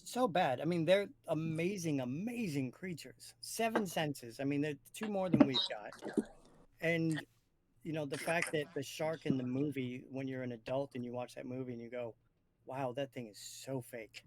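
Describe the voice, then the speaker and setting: A man's voice: on the high side, moderate pace, very noisy. A man from Maine co-hosting a podcast in casual conversation. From Maine, United States. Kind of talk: casual chat.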